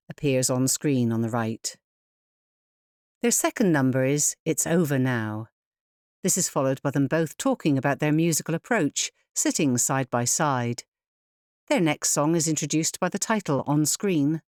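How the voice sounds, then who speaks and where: A woman with a low voice narrates audio description from a script. Her delivery is measured and clearly enunciated, in a dry, close recording. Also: darkish tone, dry recording.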